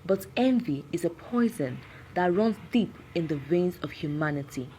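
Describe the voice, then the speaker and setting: A woman's voice: lowish voice, lively intonation, moderate pace, dark tone, slight background noise, pitched below the performer's own voice, close to an everyday voice, low-quality recording. A woman voicing an anguished, vengeful cursed village man in a folktale, grave, dramatic and pleading. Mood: sorrowful, bitter.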